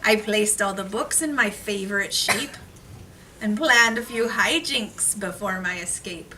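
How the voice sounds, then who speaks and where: A woman's voice: medium pitch, unhurried, sharp tone. A woman voicing a sneaky, mischievous storybook leprechaun who teases in sing-song rhyme, recorded from a distance in a noisy room. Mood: sly, boastful. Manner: teasing, sing-song rhyming. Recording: noisy classroom, distant mic.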